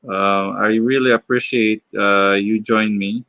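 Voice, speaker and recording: A man from the Philippines with a Filipino accent, chatting casually on a live stream while drawing, halting, with many fillers and restarts. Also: on the low side, fairly even intonation, dry recording, muffled recording. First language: Filipino (Tagalog). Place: home.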